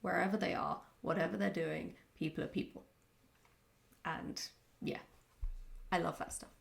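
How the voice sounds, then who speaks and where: A woman with a slightly low voice and a noticeable creak speaks casually, as if answering follower questions in a vlog. Her delivery is reflective and candid, with halting moments as she works through a thought. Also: fairly even intonation.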